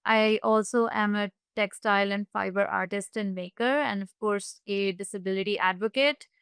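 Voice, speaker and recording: A woman from India speaking on a panel in a friendly, measured way. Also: mid-range voice, steady tone, fairly bright tone, smooth, no echo, clean studio sound. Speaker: assistant professor, panelist. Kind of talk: panel talk.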